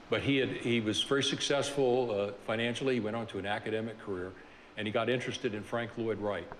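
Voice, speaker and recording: An older man speaks in a low voice, hesitant and full of fillers, as he reflects on his working life at a university seminar. His voice carries a long reverb from a large room, with faint noise underneath. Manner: halting, many fillers and restarts. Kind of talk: reflective lecture on his career.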